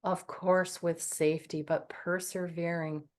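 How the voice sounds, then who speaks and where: A woman guiding a meditation, speaking in a calm, gentle and soothing way with long pauses. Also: on the low side. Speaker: meditation guide. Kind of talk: guided meditation.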